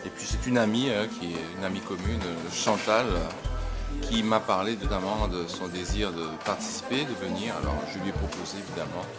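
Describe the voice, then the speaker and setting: A man's voice: deep voice, a little fast. A man, an exhibiting artist, speaking hesitantly in a spontaneous interview to camera. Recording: loud music behind.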